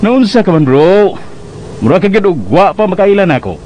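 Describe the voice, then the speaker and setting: A man's voice: high voice, expressive, a little mellow, slight background noise. An actor playing a breezy, teasing, dismissive buddy in a radio drama, drawing out his words with English slang and a Filipino accent. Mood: breezy, reassuring. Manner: drawn-out, mixes in English slang.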